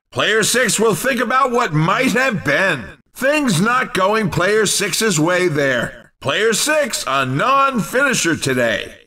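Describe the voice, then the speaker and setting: Excitable, very high-pitched racing game commentator. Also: male voice, recorded from a distance, exaggerated voice. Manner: emphatic, theatrical delivery. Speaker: race commentator in a video game.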